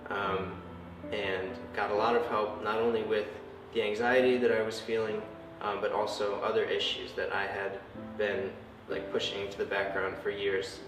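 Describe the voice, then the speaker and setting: Even-toned low young man, echoey room. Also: normal speed. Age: young adult. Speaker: university student. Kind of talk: personal testimony. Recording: echoey room, distant mic, music.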